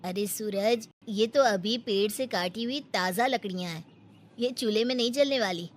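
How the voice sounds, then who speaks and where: A woman's voice: medium pitch, moderate pace, background noise, natural voice. A woman voicing a kind, naive, hardworking male woodcutter in a Hindi moral-story cartoon, earnest and friendly.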